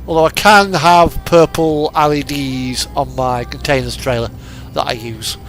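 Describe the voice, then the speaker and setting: A man with a fairly high voice talks casually as he plays a driving game for viewers. His delivery is easygoing and rambling, like relaxed livestream chat. Music plays softly behind him. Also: measured pace. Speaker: livestreamer playing a truck driving simulator. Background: background music and game truck engine.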